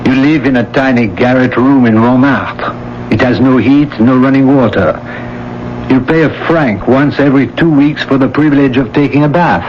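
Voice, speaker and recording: A man in a radio drama playing a devoted middle-aged French gentleman with a gentle, courtly, measured manner. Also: medium pitch, lightly acted. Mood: calm, smooth. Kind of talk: old-time radio drama acting. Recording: dull, faintly noisy old radio broadcast.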